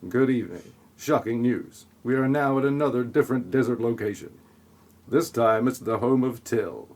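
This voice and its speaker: A man narrating a scripted audio play in a tongue-in-cheek, deadpan way with sarcastic asides. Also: mid-range voice.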